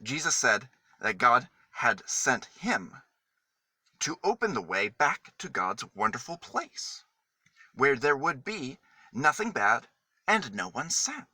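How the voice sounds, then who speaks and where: A man reading a children's story aloud in a dramatic, deliberate manner with emphatic pauses. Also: mid-range voice, very animated, bright, forceful tone, dry recording.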